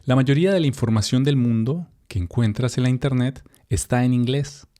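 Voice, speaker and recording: A young man from Colombia with a Colombian Spanish accent, a Spanish teacher reading a text for learners in a relaxed, chatty way, with fillers and asides to the viewer. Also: mid-range voice, fairly quick.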